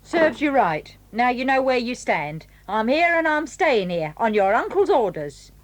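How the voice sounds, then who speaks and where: A spiteful gloating housekeeper. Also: female voice, fairly high pitch, very animated, unhurried. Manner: gloating and smug. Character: sour, spiteful housekeeper cook.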